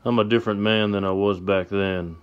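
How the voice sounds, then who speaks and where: Man doing a calm, deep-voiced gunslinger. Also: brisk, smooth, muffled recording, close to an everyday voice. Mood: steady. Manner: calm, steady, low-key.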